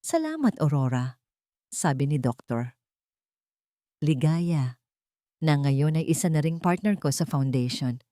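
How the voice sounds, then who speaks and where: A woman's voice: sits low, unhurried, long pauses, dark tone, no room sound, clean studio sound. A woman reading scripted, dramatic first-person narration for a romance audio drama. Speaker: story narrator.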